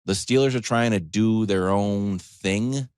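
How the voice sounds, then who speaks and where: A man's voice: on the low side, measured pace, a little mellow, smooth, no room sound, studio quality. A man hosting a sports talk show, delivering a comedic, conversational monologue with emphatic lists, joking asides and acted-out questions.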